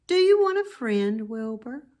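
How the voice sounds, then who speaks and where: A woman voicing a small, kindly spider from a children's storybook, speaking in a gentle, reassuring way. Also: fairly high pitch, sing-song, a little slow, pitched above the performer's own voice. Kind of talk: children's storybook read-aloud.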